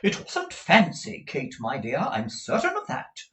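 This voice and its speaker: A man's voice: fairly high pitch, huge pitch swings, measured pace, pushed and bright, voice pushed up, full character voice. A man voicing a fussy, gushing middle-aged mother from a storybook, emphatic, exclamatory and rambling. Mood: emphatic, scatterbrained.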